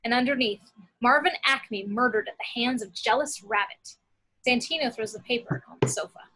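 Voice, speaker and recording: A woman narrates a script as the reader of action lines. Her voice is on the high side and clearly bright, with a forward edge. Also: moderate pace, rough recording. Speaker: narrator reading action descriptions.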